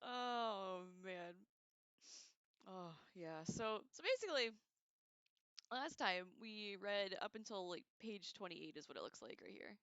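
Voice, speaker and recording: A woman hosting a casual livestream, chatting to viewers in an excitable, giggly way with loose, profane slang. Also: mid-range voice, moderate pace, no room sound, highs cut off. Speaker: livestream host. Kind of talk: casual chat to live viewers.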